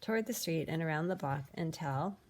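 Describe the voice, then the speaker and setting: A woman reading a children's picture book aloud in an expressive, animated way, with dramatic pauses. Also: low-pitched. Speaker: storybook reader.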